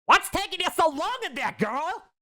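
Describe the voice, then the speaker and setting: A woman's voice: extremely high pitch, big pitch swings, normal speed, bright, forceful tone, pitched above the performer's own voice, heavily acted. A woman voicing a dramatic, impatient female stylist in her thirties who rants theatrically. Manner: sassy, ranting, theatrical.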